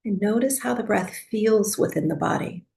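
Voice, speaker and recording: A woman teaching breathwork and guiding a meditation for sleep, speaking softly in a soothing, unhurried way with long pauses. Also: medium pitch, vocal fry.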